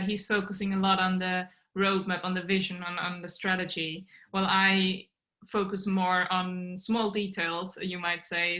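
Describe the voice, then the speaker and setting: A woman's voice: medium pitch, sharp tone, rough recording. A young woman from Austria, a startup co-founder earnestly explaining her work over a video call. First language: German. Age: young adult. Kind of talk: podcast interview answers. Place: small bedroom at home.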